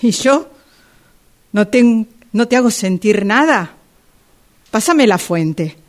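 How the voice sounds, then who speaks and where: A woman's voice: fairly high pitch, animated, slow pace. An Argentine woman playing a blunt, bossy married sister in an amateur radio drama. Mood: indignant, reproachful.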